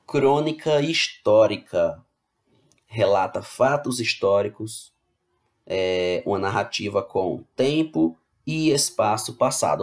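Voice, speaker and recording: A male teacher giving an online class, reading slide text aloud and then rephrasing it in plain words, often checking in with the students. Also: fairly low pitch. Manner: explains casually, checks in with "né?" and "tá bom?".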